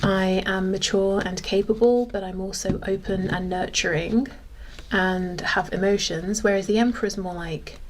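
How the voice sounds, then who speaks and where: Female tarot reader, mid-pitched, conversational and off-the-cuff, with faint background noise. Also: steady tone, normal speed. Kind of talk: casual tarot reading, thinking aloud.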